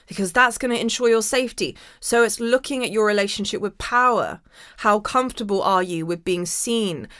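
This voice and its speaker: Female podcast host, mid pitch, slightly hoarse, emphatic motivational delivery, dry recording. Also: fairly bright tone, no room sound. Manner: emphatic, repeats key phrases. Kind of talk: motivational spiritual monologue to listeners.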